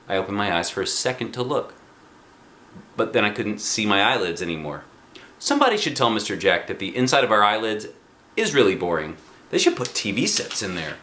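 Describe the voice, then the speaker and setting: A man, a dad, reading a children's book aloud with expressive, dramatic emphasis. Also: lowish voice, echoey room, a little hiss or hum. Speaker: storybook reader, a dad.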